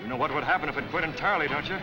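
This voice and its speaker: Man playing stern masked crimefighter, higher male voice, firm n commanding, old serial sound w music. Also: rapid-fire, close to an everyday voice, poor audio. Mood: accusing. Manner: firm, interrogating. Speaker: actor. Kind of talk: 1940s film serial acting.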